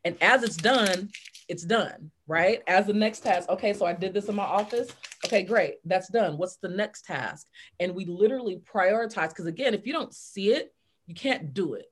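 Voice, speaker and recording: Deep-voiced woman guest explaining systems. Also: moderate pace, a little hiss or hum. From Fresno, California. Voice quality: low, deep voice. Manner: upbeat and instructive, stepping through tasks. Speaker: podcast guest, business author. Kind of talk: casual interview chat.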